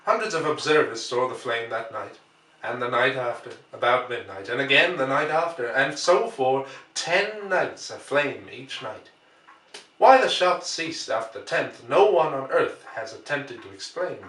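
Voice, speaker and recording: A man narrates a classic story in a measured, expressive way, with deliberate pauses for drama. His medium-pitched voice sits back from the microphone in an echoey room. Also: far from the mic. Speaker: audiobook reader. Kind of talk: dramatic literary narration, reading aloud.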